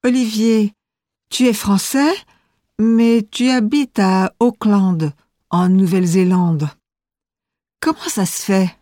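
A woman's voice: mid-range voice, slow pace, pauses often, warm tone, no room sound, studio quality. A woman reading a scripted listening exam as interviewer and narrator, enunciating carefully.

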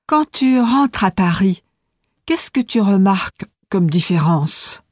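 A woman's voice: mid-range voice, animated, takes it slowly, darkish tone, dry recording, clean studio sound. A woman reading a scripted listening exam as interviewer and narrator, enunciating carefully.

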